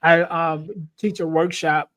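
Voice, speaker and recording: A man's voice: on the high side, fairly even intonation, takes it slowly, a bit bright. A man in his late forties hosting a podcast interview, talking in a loose, rambling way full of "you know.". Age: 40s. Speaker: podcast host. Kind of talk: casual interview chat.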